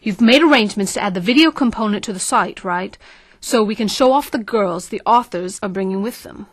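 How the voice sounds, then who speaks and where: A woman's voice: mid-range voice, brisk, close to an everyday voice. A woman playing an ambitious, scheming marketing consultant in an audio drama, self-assured and coaxing. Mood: brisk, directing.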